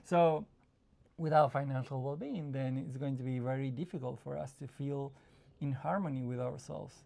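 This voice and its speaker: A man from Argentina, a psychologist guest, talking in a relaxed interview, thoughtful and often pausing to find his words. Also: medium pitch, vocal fry. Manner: thoughtful, searching for words, frequent restarts, laughs easily. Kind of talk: casual conversational interview.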